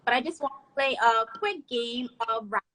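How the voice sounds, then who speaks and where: A woman's voice: a little high, moderate pace, pushed and bright. A young woman hosting a live chat, upbeat as she reads sponsor plugs and puts questions to her guest over a phone livestream. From Bahamas. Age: 20s. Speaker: host. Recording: phone livestream with faint noise.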